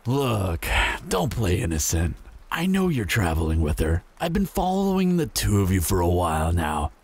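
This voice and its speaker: A man's voice: low voice, wildly swooping pitch, moderate pace, darkish tone, breathy edge, heavily acted. A man playing a menacing, smug apocalypse bandit in an audio roleplay, taunting and mock-friendly with a drawl.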